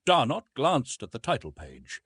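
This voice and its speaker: A man's voice: medium pitch, wildly swooping pitch, moderate pace, a bit bright, husky, dry recording, studio quality. A man giving scripted audiobook narration of a classic adventure novel.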